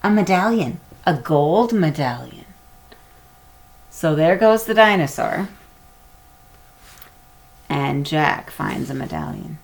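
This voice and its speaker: A woman narrating a children's chapter book aloud in an expressive storytelling manner. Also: low-pitched, slow, creaky, pauses often. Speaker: storybook reader.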